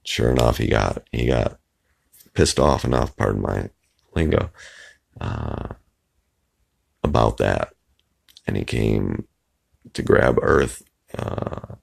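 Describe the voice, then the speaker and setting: Deep, gravelly hoarse-voiced man. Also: animated, slow pace, pauses often. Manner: meandering, trails off with many fillers. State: hoarse and raspy. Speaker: video blogger. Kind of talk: rambling casual monologue to camera. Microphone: phone microphone.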